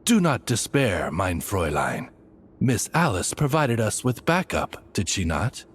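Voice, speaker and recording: A man voicing a loyal raven servant in a video game, formal, deferential and gentlemanly. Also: lowish voice, big pitch swings, unhurried, husky, full character voice. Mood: reassuring. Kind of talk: video game character acting.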